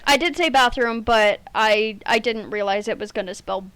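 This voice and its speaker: A woman's voice: fairly high pitch, normal speed, fairly bright tone, smooth, no echo. Woman streamer chatting during a game. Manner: chatty, thinking aloud while playing. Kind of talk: casual gameplay chat.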